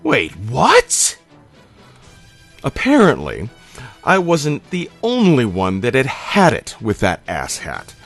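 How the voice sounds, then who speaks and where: A man's voice: mid-range voice, wildly swooping pitch, a little slow. A man telling a scripted comic personal story in a dramatic, emphatic, profane manner. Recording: music playing under the voice. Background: background music.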